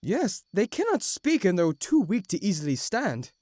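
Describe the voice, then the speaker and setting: A woman playing a gentle fantasy healer with a lively, mid-pitched voice. She sounds concerned and careful, recorded dry for an audio drama. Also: moderate pace, no room sound. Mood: grave. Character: gentle healer tending the wounded.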